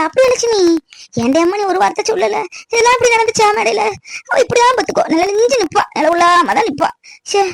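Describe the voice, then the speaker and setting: A woman's voice: very high voice, vocal fry, fairly bright tone, heavily acted. A woman playing an indignant elder sister in a family drama, scolding and exclaiming reproachfully in a southern Tamil Nadu accent.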